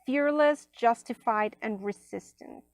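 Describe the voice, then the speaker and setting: Slow, chatty woman with a gravelly edge. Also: on the high side, no room sound. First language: Spanish. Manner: chatty, many fillers, drops to soft near-whispers. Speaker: oracle deck reviewer. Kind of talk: casual unboxing review. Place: indoors at a table.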